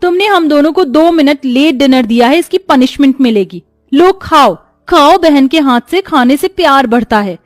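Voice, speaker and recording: A woman's voice: high voice, animated, normal speed, no echo, studio quality. A woman playing a spoiled, arrogant young stepsister in a moral story, taunting and bossy. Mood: bossy, cruel. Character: spoiled, arrogant, mocking young stepsisters bullying their sister. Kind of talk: animated Hindi moral story acting.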